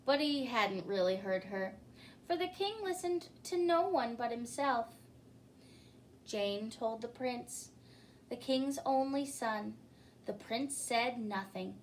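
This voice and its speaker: A woman reads a children's story at a slow pace in a fairly high voice. Her delivery is expressive, the kind used at story time for young listeners. There is noise on the recording. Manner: expressive, pausing to ask listeners questions. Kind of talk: children's picture book read-aloud. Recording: clearly noisy recording.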